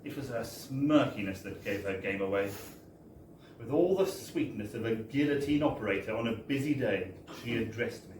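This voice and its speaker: A man's voice: mid-range voice, expressive, normal speed, darkish tone. A man giving a wry, theatrical, mock-formal reading of a comic story at a live event. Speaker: reader of a humorous book. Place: bar, indoors, at a live book launch. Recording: echoey live bar recording, distant, faint noise.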